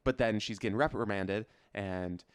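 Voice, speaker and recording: A man with a clean, smooth voice, recorded close and dry, chats as a podcast co-host. He is opinionated and emphatic, speaking in loose slang full of fillers. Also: fairly low pitch, normal speed, no room sound. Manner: emphatic, slangy, peppers speech with "like". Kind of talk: casual, opinionated pop culture chat.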